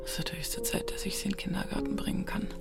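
A woman acting in a German radio drama as a weary, grieving young mother, subdued and halting, with a breathy voice. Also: lightly acted. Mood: sad, worried. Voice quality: breathy, whispery. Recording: music behind the voice.

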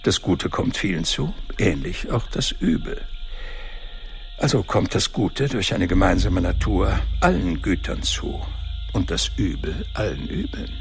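A man's voice: deep voice, big pitch swings, a little slow, creaky, faint music behind, exaggerated voice. A man in a radio drama playing a learned cleric who lectures in a didactic way. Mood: lecturing. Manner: learned, didactic, quotes Latin. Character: scholarly male cleric, lofty and sermonizing. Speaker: churchman at a forum.

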